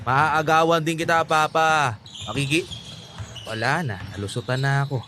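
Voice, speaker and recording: Man acting a higher-voiced, whiny young son. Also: steady tone, moderate pace, background noise, lightly acted. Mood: whiny, pleading. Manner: pleading, playfully complaining. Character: good-natured, whiny young son who idolizes his father. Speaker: radio drama actor. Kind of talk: radio drama acting. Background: background music.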